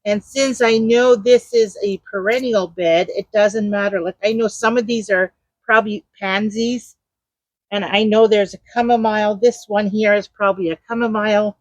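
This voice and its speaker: A woman chatting loudly while she works in her garden, explaining her steps as she digs. Her mic is clipped to a nearby trellis, and she rambles and hunts for words. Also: medium pitch, fairly even intonation, moderate pace, no room sound. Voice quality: loud voice. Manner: talks while digging in the garden. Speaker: gardening vlogger. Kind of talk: casual how-to narration while working. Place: outdoor garden.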